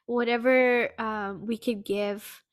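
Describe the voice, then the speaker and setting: A woman's voice: fairly high pitch, steady tone, slow pace, smooth. A woman hosting a podcast, chatting casually and informally in a supportive interview style. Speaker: podcast host.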